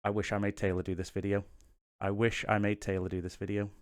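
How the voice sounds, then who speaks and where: A man's voice: lowish voice, flat delivery, fast, clean tone. A man hosting a tutorial, explaining game rules to the viewer in a casual, chatty, self-deprecating way. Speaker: host of a board game tutorial channel. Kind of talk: casual how-to-play explanation to camera.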